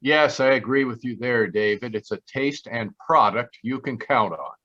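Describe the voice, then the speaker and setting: A man's voice: medium pitch, normal speed, bright, forceful tone, muffled recording. A man doing sponsor banter in the style of an old-time radio commercial. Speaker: sponsor pitchman.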